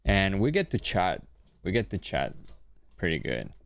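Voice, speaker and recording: A man from Venezuela, hosting a day-trading livestream and chatting casually with viewers, often repeating words and trailing off low. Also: wildly swooping pitch, unhurried, vocal fry, no echo.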